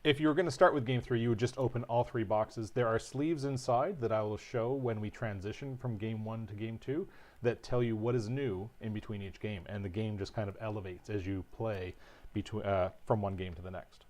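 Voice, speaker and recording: A man co-hosting a board game livestream, calmly walking through setup and rules in a relaxed, explanatory way. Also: fairly low pitch, brisk. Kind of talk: casual livestream rules teaching.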